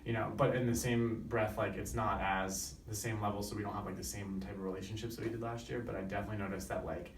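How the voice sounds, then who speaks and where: A man's voice: lowish voice, flat delivery, quick pace, hardly any pauses. A young man talks loosely, his sentences full of fillers and restarts. He sounds roomy and echoey, picked up from some distance by the mic, with a faint hiss behind him. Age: young adult. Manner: rambling, many "like" fillers. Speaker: student interviewee. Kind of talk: casual interview answer.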